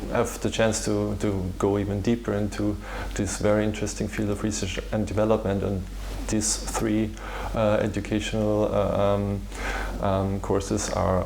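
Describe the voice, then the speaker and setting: A man with an Austrian accent and German as his first language, explaining his work in an interview in a halting, searching way. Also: fairly low pitch, creaky, a little mellow, slight background noise. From Vienna, Austria. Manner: hesitant, halting delivery with repeated words. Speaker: patient organization founder, interviewee. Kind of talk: interview answer, explanatory talk.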